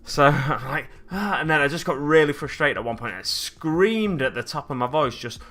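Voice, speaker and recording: A man's voice: a little high, lively intonation, moderate pace, fairly bright tone. A man with a slightly scratchy voice, rambling through stories and chatting with viewers as he hosts a livestream from his hobby desk at home. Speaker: streamer host. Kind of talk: casual livestream chat while painting miniatures. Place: home hobby desk with a glass cabinet behind.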